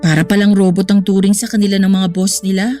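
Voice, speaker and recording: A woman's voice: mid-range voice, flat delivery, fast, warm tone, faint music behind, close to an everyday voice. An actress playing a caring, sensible young woman in a radio drama, speaking earnestly and reassuringly. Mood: disapproving. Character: caring, sensible young woman consoling her troubled boyfriend.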